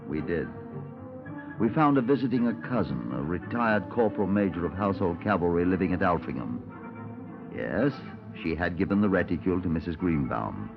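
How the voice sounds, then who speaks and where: An actor playing a formal, dry Scotland Yard detective with a British accent, deliberate in speech, on an old 1950s radio drama recording. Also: male voice, deep voice, lively intonation, dull audio, over music. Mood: dry, matter-of-fact. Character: measured, dry Scotland Yard detective narrating his case. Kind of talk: 1950s radio police drama acting and narration.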